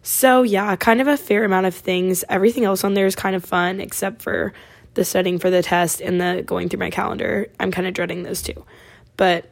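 A college-age woman from Oregon hosting her podcast, chatting casually with a raspy voice and lots of fillers. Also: mid-range voice, fairly even intonation, fairly quick. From Oregon, USA. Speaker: podcast host. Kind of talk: casual chat.

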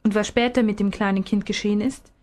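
A woman voicing a patient father who questions and explains gently in a storybook reading. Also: mid-range voice, fairly even intonation, fast talker, natural voice. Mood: patient explaining. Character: patient, gently probing father of a small boy.

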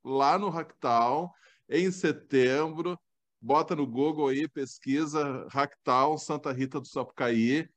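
A man's voice: on the high side, slow pace. A man from southern Brazil, hosting a podcast in casual, enthusiastic chat. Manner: warm and enthusiastic, animated outbursts. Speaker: podcast host.

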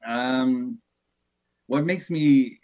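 A man's voice: mid-range voice, extremely slow, a little mellow. A man in his thirties, a religious teacher, answering interview questions thoughtfully, hesitating often and laughing easily. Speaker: shaykh, religious speaker.

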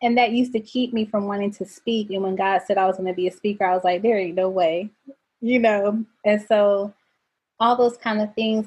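A middle-aged woman, a coach and speaker, talking earnestly as a podcast guest about faith and boundaries, goofy and quick to laugh. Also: medium pitch, darkish tone, slightly rough, low-quality recording. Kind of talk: faith-based personal talk.

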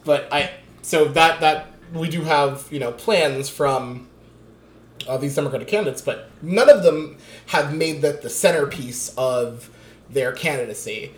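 A man's voice: fairly high pitch, a little slow. Late-twenties man, casual political chat, rambling delivery, roomy echo. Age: 20s. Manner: rambling, many restarts and fillers, laughs often.

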